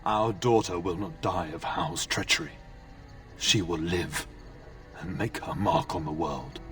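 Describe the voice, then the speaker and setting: An actor playing a wounded, dying nobleman father, tender and resolute, with halting, pained delivery in a fantasy game. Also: sits low, expressive, creaky, a bit bright, distant mic, soft background music, full character voice. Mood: tender farewell.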